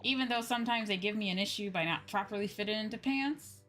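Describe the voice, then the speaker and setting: A woman's voice: mid-range voice, fast, fairly bright tone. A woman livestreamer chatting casually and informally with viewers while she plays a game, rambling in slangy speech. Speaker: livestreamer, VTuber host. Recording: music playing behind the voice. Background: game music.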